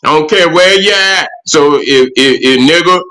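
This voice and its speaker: High bright-voiced profane livestream host. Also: male voice, normal speed. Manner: profane, rambling, repeats "you know what I'm saying". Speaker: livestream host answering chat. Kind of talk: casual livestream commentary.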